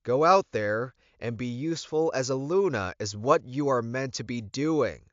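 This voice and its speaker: A man's voice: mid-range voice, animated, normal speed, fairly bright tone, dry recording, pitched above the performer's own voice, close to an everyday voice. A man voicing a commanding, short-tempered male werewolf alpha in an audiobook, emphatic and authoritative. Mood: angry, scornful. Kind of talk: audiobook character voice. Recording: muffled, dull recording.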